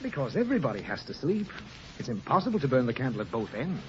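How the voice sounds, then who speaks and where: A man's voice: mid-range voice, sing-song, quick pace, a little mellow, lightly acted. A man playing a fussy, easily flustered father reasoning with his small daughter in a 1940s radio comedy. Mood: patient. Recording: muffled, noisy 1940 radio, studio audience.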